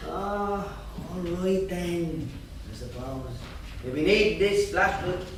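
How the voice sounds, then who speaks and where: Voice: medium pitch, slow pace, lightly acted. An actor playing a grumpy, begrudging cemetery caretaker in a staged play reading. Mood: grudging. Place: small theatre, staged reading. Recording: echoey theatre, distant mic, faint noise.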